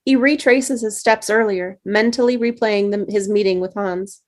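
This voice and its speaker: A woman's voice: mid-range voice, moderate pace, a little mellow. Woman reading stage directions.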